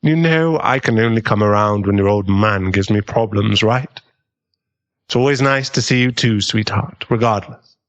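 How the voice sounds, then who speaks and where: A man playing an earnest, kindly government agent in an audio drama, with a measured, avuncular way of talking. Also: fairly low pitch, lo-fi sound, lightly acted. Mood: gently teasing.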